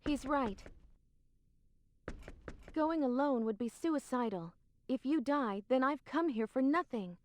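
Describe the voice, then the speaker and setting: A woman's voice: high-pitched, animated, slow pace, long pauses, a little mellow, dry recording. A woman playing a serious, determined young heroine in a video game cutscene, speaking firmly. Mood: insistent.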